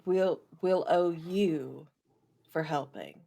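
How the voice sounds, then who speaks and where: A woman with a slightly low voice plays a tabletop role-playing game. She speaks slowly and tentatively, pausing and often framing what she says as a question. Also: creaky, warm tone.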